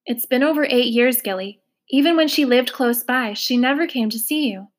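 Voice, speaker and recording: A woman's voice: fairly high pitch, normal speed, close to an everyday voice. A woman voicing a firm, businesslike female social caseworker in a storybook reading.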